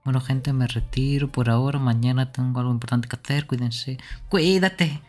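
A man's voice: medium pitch, normal speed, a little mellow, soft background music. A man streamer talking casually to his livestream audience.

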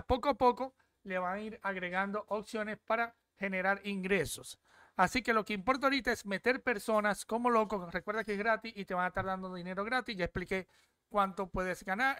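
Chatty young man, smooth dry voice. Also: high voice, bright, forceful tone, no room sound. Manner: chatty, repeats "¿ok?" often. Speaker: crypto app reviewer. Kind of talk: casual screen-share walkthrough.

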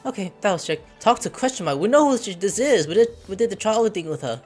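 A man's voice: high-pitched, fast, faint music behind. A man giving casual, joking commentary while playing a game. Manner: joking, excitable, talks to himself. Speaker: gamer playing the quest. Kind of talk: casual let's-play commentary.

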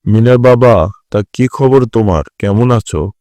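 A man's voice: medium pitch, measured pace, dark tone, no room sound, close to an everyday voice. A man voicing a greedy, scheming village landlord figure in a cartoon, with colloquial rustic speech. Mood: smug, casual. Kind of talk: Bengali cartoon voice acting.

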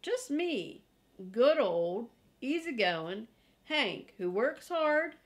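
A woman's voice: high-pitched, big pitch swings, very slow, exaggerated voice. Woman reading as self-important ranch guard dog. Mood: proud, folksy. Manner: boastful, folksy, emphatic.

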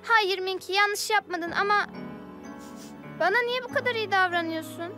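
A woman playing an excitable, argumentative young female character in a children's cartoon, with an emphatic, childlike manner. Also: very high-pitched, moderate pace. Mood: troubled, confessing. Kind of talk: animated children's cartoon dialogue. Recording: music behind the voice.

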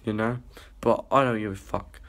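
A man's voice: lowish voice, fairly even intonation, moderate pace, fairly bright tone. A young man, rambling and swearing in a casual vlog filmed on a phone held at arm's length. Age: young adult. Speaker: vlogger. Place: small indoor rooms and a hallway.

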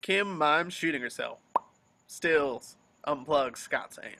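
A man reads scene descriptions as a scripted narrator. His voice is bright and forward, a little high for a man, in the setting of an amateur table read. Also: takes it slowly, a little hiss or hum. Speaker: reader of stage directions. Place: remote video call.

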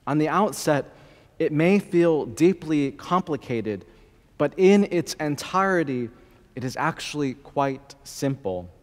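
A young man preaching a sermon as a priest, slow and measured, with deliberate pauses, at a church lectern microphone. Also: fairly high pitch, animated. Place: large church, indoors. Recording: long church reverb.

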